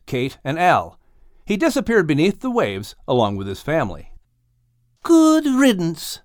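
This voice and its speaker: A man's voice: mid-range voice, no room sound, clean studio sound. A man narrating a children's audiobook story expressively.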